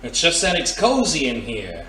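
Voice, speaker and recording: A man's voice: medium pitch, wildly swooping pitch, moderate pace, pushed and bright. A man playing a roguish reformed crook in an audio drama, with a drawling, exasperated manner. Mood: sleepy, reluctant. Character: roguish reformed crook, easygoing turned rattled. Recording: roomy, distant, faint noise.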